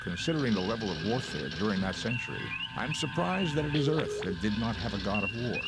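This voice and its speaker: A deadpan, low-voiced alien science officer. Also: male voice, fast, heavy background noise. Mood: calm, logical. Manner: deadpan, precise, formal exposition. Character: coolly logical male alien science officer. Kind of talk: video game voice acting.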